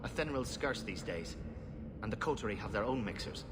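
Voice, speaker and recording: An actor playing a shady, chummy underworld poison mixer in a fantasy video game, talking in a casual, clipped, familiar way. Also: male voice, expressive, a little fast, lightly acted. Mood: chummy, sly. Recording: loud ambient rumble under the voice.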